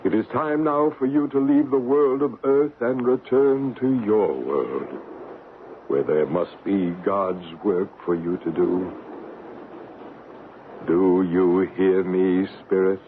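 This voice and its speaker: An actor playing a solemn, deliberate male paranormal investigator who narrates and acts in an old radio mystery drama. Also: lowish voice. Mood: solemn, commanding. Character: solemn male paranormal investigator and ghost hunter named Bryce. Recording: noisy, phone-like old radio broadcast recording.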